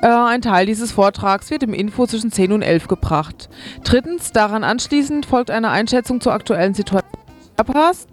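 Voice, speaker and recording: A woman's voice: a little high, normal speed. A woman radio presenter reading scripted event listings and programme announcements. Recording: music behind the voice. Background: music.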